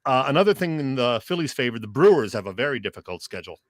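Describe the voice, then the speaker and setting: A man's voice: mid-range voice, big pitch swings, quick pace, no echo, studio quality. A man co-hosting a sports radio show, chatting casually and conversationally with a joking streak.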